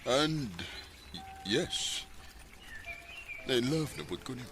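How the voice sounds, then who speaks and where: A man's voice: medium pitch, animated, takes it slowly, over music. An actor playing an earnest, conflicted married father in a Filipino radio drama, with a Bisaya accent. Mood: confessing, regretful. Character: conflicted married father, earnest, torn between love and family. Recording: noisy radio drama with ambient effects.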